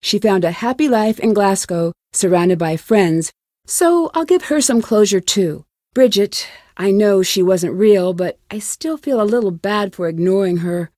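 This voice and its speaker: A young woman playing an inquisitive, earnest young female detective in a video game, speaking in a clear, measured way. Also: medium pitch, expressive, darkish tone, no echo, clean studio sound, natural voice. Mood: reflective, earnest. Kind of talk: scripted video game voice acting.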